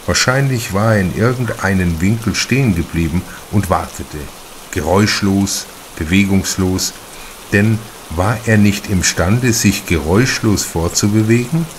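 Deep-voiced, unhurried male audiobook narrator. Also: a little hiss or hum. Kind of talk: scripted crime-story narration.

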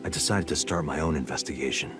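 An actor playing a cool, deadpan, wisecracking special agent in a video game. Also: male voice, a bass voice, fast, music in the background. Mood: earnest recounting. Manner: deadpan, dryly joking.

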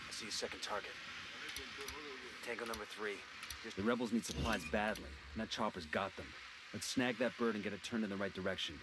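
An actor playing a terse, businesslike special forces team leader in a military video game. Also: male voice, on the low side, fairly even intonation, fairly quick, natural voice. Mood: businesslike, focused. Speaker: squad leader. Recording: game vehicle and ambience noise behind.

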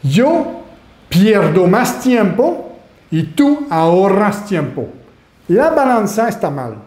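French-Canadian male webinar host, animated, asks the room questions, roomy echo on a webcam recording. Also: sits high, takes it slowly. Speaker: online marketing mentor. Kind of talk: webinar presentation.

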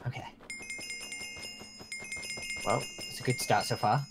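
A man's voice: medium pitch, steady tone, takes it slowly, lightly acted. A man playing an eager, curious human newcomer among werewolves, chatting casually while gaming. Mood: upbeat, focused. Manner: upbeat, self-encouraging, curious. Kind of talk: improvised roleplay chat while gaming. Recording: music playing behind the voice.

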